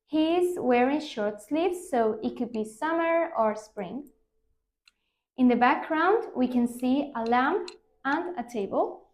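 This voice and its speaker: A woman in her twenties answers speaking-test questions carefully, with small hesitations. Her voice is high. The room adds a clear echo. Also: lively intonation, slow. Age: 20s. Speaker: student, exam candidate. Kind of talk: practice exam answers. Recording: echoey room.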